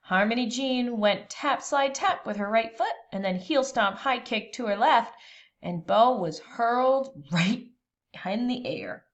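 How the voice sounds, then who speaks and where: A woman reading a children's storybook aloud expressively, with dramatic, deliberate pauses between phrases. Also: fairly high pitch, lively intonation, highs cut off.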